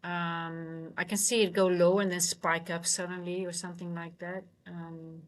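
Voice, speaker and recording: A woman's voice: lowish voice, little pitch movement, moderate pace. A woman hosting a live trading stream, giving casual commentary to her chat, thinking aloud tentatively and often trailing off mid-sentence. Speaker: livestream host, futures trader and coach.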